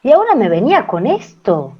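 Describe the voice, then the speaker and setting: A woman's voice: fairly high pitch, wildly swooping pitch, a little fast, husky, full character voice. A woman playing an indignant, wounded woman quarrelling in a homemade radio drama. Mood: indignant, hurt. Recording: muffled homemade recording.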